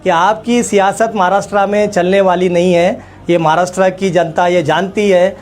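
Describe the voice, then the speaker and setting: A man speaks in a notably high-pitched voice. He delivers a formal public statement as a leader would, with emphatic phrasing and pointed repetition. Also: steady tone, moderate pace. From India. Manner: emphatic, repeats phrases for effect. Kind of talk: formal political address to camera.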